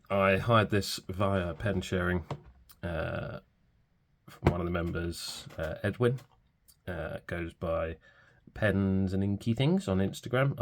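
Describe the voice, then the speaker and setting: A man reviewing a fountain pen in a relaxed, hesitant way with many fillers, sounding stuffy from a cold. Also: low voice, vocal fry. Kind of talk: casual product review.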